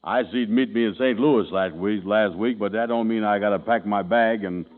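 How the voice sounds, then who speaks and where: A man plays an easygoing sidekick with a lowish, drawling voice. He talks in the relaxed manner of 1940s radio comedy. The sound is thin and tinny, like an old radio show. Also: moderate pace, close to an everyday voice.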